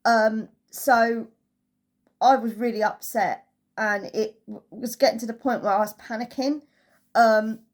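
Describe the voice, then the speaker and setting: A woman's voice: fairly high pitch, fairly even intonation, unhurried. A woman giving a casual, chatty holiday review as a travel vlogger, telling it through anecdotes.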